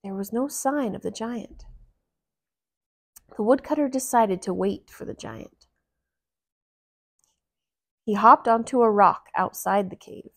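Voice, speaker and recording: A woman reading a children's picture book aloud in a deliberate, expressive storyteller's manner. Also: mid-range voice, creaky, no room sound, studio quality.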